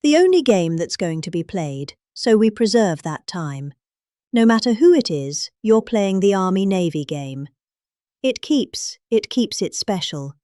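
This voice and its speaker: Animated British woman reading aloud. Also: mid-range voice, a little slow, no room sound, clean studio sound. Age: middle-aged. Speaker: host reading a political speech aloud. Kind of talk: dramatic read-aloud of a speech transcript.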